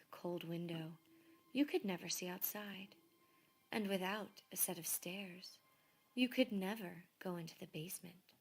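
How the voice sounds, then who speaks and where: A woman reading a children's story aloud expressively, in measured phrases that often fall to a hush. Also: on the low side, husky. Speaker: storybook reader.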